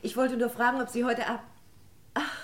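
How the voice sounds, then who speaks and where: A woman's voice: fairly high pitch, flat delivery, normal speed, background noise, close to an everyday voice. A woman playing a chatty, polite woman of the household in a German radio crime play, chirpy in manner.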